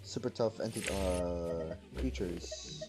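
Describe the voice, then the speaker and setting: A man's voice: low voice, takes it slowly. A man giving casual, slangy, offhand commentary as he streams a game. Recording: game music behind the voice.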